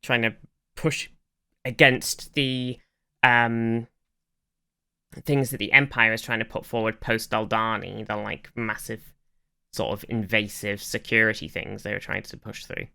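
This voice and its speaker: A podcast co-host in casual chat, speaking in a halting, hedging way. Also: mid-range voice, flat delivery, creaky, pushed and bright, smooth.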